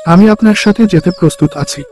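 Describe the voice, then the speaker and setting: A man's voice: high voice, fairly quick, darkish tone, soft background music, close to an everyday voice. A man voicing an earnest, devoted young orphan brother in a cartoon story.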